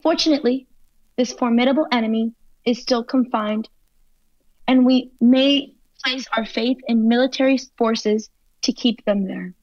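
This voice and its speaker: A woman playing a solemn government secretary giving a measured, oratorical address to the nation in a radio play. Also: a little high, a little mellow, no room sound, close to an everyday voice. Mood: solemn, resolute. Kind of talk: formal speech in a radio play. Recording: dull, dry lo-fi recording.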